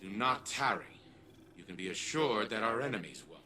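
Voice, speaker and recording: A man's voice: lowish voice, animated, moderate pace, pushed and bright, a little hiss or hum. A man playing a stern, formal military strategist in a sci-fi video game, speaking with precise diction. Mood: grave, urgent.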